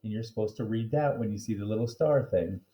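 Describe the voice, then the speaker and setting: A man's voice: fairly low pitch, fast talker, dark tone, low-quality recording. A man reading a children's chapter book aloud, with playful, jokey asides to his listeners. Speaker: reader.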